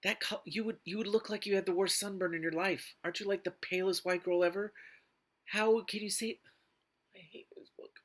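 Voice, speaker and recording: Male reader-commentator, forceful bright tone, exasperated and emphatic delivery. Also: sits high, fairly even intonation, normal speed. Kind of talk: scripted reading broken by indignant commentary.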